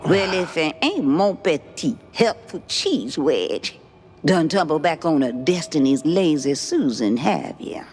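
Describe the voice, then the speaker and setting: A woman's voice: low-pitched, very animated, measured pace, a little mellow, faint music behind. A woman voicing an ancient, sly swamp witch in a cartoon game, with a Louisiana Cajun accent and a folksy, teasing, cryptic drawl.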